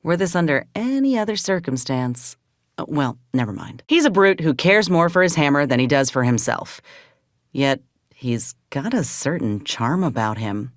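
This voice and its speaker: A woman's voice: sits low, expressive, normal speed, no room sound, studio quality. A woman playing an earnest, loyal frontier soldier in a video game, speaking plainly and with measure. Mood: reflective, fond. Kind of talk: video game companion dialogue.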